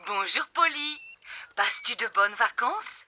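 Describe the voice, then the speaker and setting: A woman's voice: fairly high pitch, expressive, unhurried, bright, forceful tone, a little hiss or hum, exaggerated voice. A woman voicing a kindly, doting old grandmother pig in a French cartoon dub. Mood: sweet, polite. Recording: music behind.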